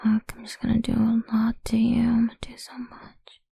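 A woman's voice: on the high side, monotone, moderate pace, vocal fry, warm tone, slightly rough, no room sound, full character voice. A woman voicing a cruel, domineering woman who taunts in a crude, menacing whisper in an audio roleplay.

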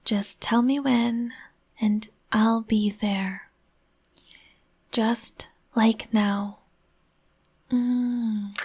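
Woman whispering super slow and breathy, soft comforting voice, a bit high. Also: fairly even intonation. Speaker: roleplay narrator as partner.

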